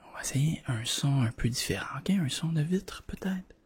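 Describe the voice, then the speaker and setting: A person with a Quebec French accent whispering softly in an ASMR roleplay as a clinician, close to the microphone, often repeating short words. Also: mid-range voice, animated, normal speed, background noise. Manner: soft-spoken, murmured repetition of short words. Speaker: ASMR performer playing a clinician doing a hearing test.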